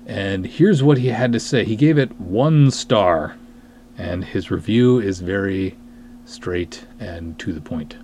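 A man's voice: fairly low pitch, slow, darkish tone, a little hiss or hum. A man hosting a podcast monologue, wry, self-deprecating and emphatic as he chats and reads aloud. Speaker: podcast host, writer and director. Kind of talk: podcast monologue with dramatic review readings.

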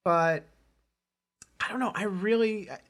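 A man hosting a casual film discussion, halting and often interrupting himself as he talks. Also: high-pitched, fairly bright tone. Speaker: podcast host.

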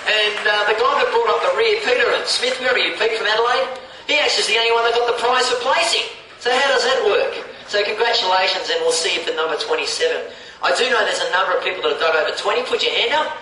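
A man's voice: high-pitched, fast, sharp tone. A man with an Australian accent jovially addressing a crowd as an event announcer. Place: outdoor triathlon prize gathering. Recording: echoey, distant PA over crowd noise.

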